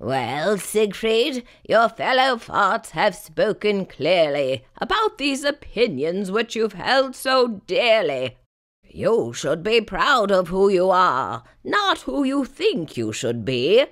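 A woman voicing a stern, wise old cartoon fart judge in a measured, admonishing manner for a storybook. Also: mid-range voice, big pitch swings, a bit bright.

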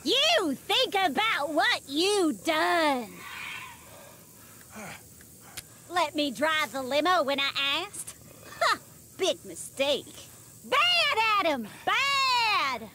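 An actress playing a vain, bossy TV show hostess in a video game, sassy and theatrical, with condescending sweetness. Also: female voice, very high voice, sing-song, takes it slowly, background noise, exaggerated voice. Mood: impatient, indignant. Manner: sassy, theatrical, condescending with sugary pet names. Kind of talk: video game character acting.

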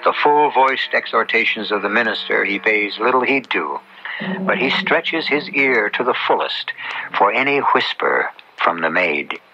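A man hosting and narrating a radio drama in a grave, deliberate, scripted style. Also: fairly low pitch, expressive, sharp tone, a little hiss or hum. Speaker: host and narrator. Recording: dull old radio recording. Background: music.

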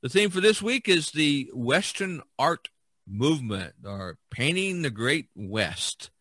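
A man from Indiana with an American accent, hosting a casual podcast chat in a halting way, often pausing mid-thought. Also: a little high, sing-song, a bit bright, slightly rough, no echo, clean studio sound. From Indiana, USA. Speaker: podcast host.

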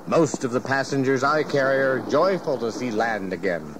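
An actor playing a jovial, garrulous, folksy ship captain in an audio history drama. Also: male voice, medium pitch, lively intonation, moderate pace, natural voice. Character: hearty, cantankerous-sea-loving ship captain, folksy and fatherly. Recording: clear background noise of ship ambience.

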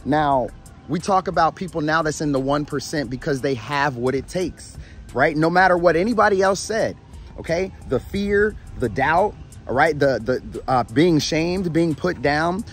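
A hoarse man talking to camera, his voice worn from coaching all weekend and a little higher than most men's. He is tired but pumped, preaching to viewers in a repetitive, energetic way. Faint music plays under him. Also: normal speed. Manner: energetic, preachy, repeats "all right" and "family". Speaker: motivational YouTube host and coach. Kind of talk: motivational talk to camera. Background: background music.

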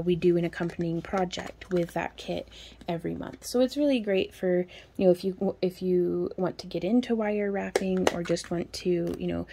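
A woman's voice: lowish voice, noisy background. A woman, chatting casually as a craft shop owner while showing her products at her home workspace, rambling and laughing often. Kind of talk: casual product show-and-tell.

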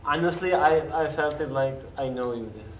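A man's voice: on the high side, normal speed. A man from Serbia with a Serbian accent, chatting casually as an interview guest and often searching for his words in non-native English. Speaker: interview guest, parkour coach. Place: large empty café-like room, at a table indoors. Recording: roomy, distant mic, faint noise.

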